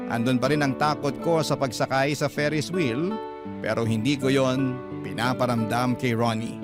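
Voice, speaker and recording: A man with a Filipino accent narrating a scripted first-person love story as a radio drama narrator. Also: medium pitch. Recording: loud music bed behind. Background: guitar music.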